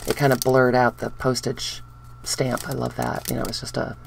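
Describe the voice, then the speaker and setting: Chatty woman with a gravelly voice. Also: very deep voice, completely flat delivery, normal speed, background noise. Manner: chatty, upbeat, talks to viewers while handling papers. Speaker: craft tutorial host. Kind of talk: casual craft demonstration. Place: indoors at a wooden craft table.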